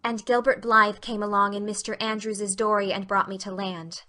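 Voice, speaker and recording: Woman voicing bright, spirited teenage girl. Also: medium pitch, little pitch movement, moderate pace, lightly acted. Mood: weary. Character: spirited, dramatic, earnest young teenage girl. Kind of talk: audiobook character acting.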